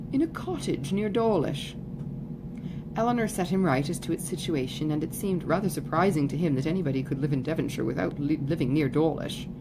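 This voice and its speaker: Woman narrating a novel over clear noise. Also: low voice, fast talker, hardly any pauses. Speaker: audiobook reader. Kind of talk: scripted literary narration. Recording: clearly noisy recording, background ambience.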